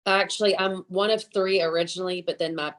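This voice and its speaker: A woman's voice: lowish voice, steady tone, a little fast. A woman with a Southern US accent, chatting casually as an interview guest, talkative and emphatic about her views. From Alabama, southern US. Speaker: guest, chef. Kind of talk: casual podcast conversation.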